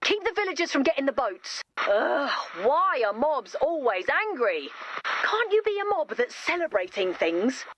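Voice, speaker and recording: A woman's voice: sits high, sing-song, a little slow, a bit bright, slight background noise, heavily acted. A woman voicing an earnest, chatty young heroine with romantic ideals and a bossy streak in a video game. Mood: exasperated.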